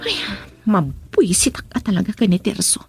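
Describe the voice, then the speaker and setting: A woman's voice: mid-range voice, sing-song, normal speed, creaky, over loud music. An actress playing an upset, jealous young married woman in an Ilocano radio drama, with an Ilocano accent and an emotional, exasperated delivery. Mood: bitter, exasperated.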